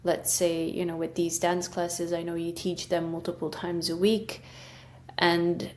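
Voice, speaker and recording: A woman's voice: on the low side, flat delivery, measured pace, darkish tone, a little hiss or hum. Chatty woman podcast host. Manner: chatty, rambling, many fillers. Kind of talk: casual interview chat.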